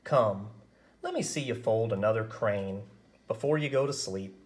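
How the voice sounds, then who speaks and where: A man voicing a gentle but firm female nurse in a picture book, reassuring in manner. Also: fairly low pitch, a little slow, close to an everyday voice.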